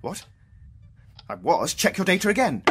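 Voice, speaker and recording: An actor with an English accent playing a troubled, proud middle-aged British scientist in a radio drama, intense and questioning. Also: male voice, sits high, sing-song, normal speed, heavy background noise. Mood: indignant, hurt. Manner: intense, questioning, swinging from hushed musing to outbursts. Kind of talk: theatrical radio drama dialogue.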